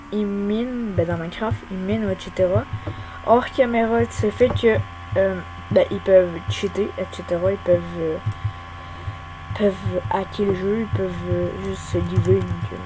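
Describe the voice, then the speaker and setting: A young woman giving casual, hesitant gameplay commentary at her home computer, full of pauses and filler sounds. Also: mid-range voice. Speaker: gaming YouTuber. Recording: hum and whirring computer fan noise.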